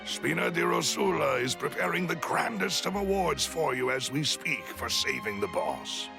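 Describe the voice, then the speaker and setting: Very gravelly, formal retainer. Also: male voice, a little high, expressive, moderate pace, over music. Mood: dry, proud. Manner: formal, dry, courteous. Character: dry, formal male retainer to a young lady boss. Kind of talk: video game voice acting.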